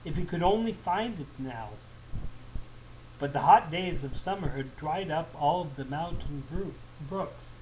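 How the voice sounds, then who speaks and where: A man narrating a folktale as a storyteller, in an expressive, deliberate and emphatic way. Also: on the high side, low-quality recording. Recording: faint background noise.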